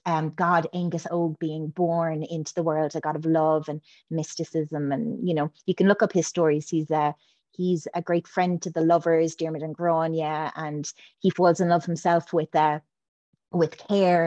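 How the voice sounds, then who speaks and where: A woman's voice: low-pitched, a little mellow, no echo. A woman speaking as a guest, talking through myth in a hesitant way with many fillers and restarts. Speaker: guest, priestess. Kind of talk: casual podcast chat.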